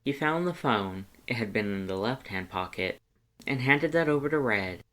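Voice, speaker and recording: A man reads fiction aloud as a storyteller. His pitch sits in a middle range for a male voice, and his delivery is that of expressive audiobook narration. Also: moderate pace. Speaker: fan fiction reader.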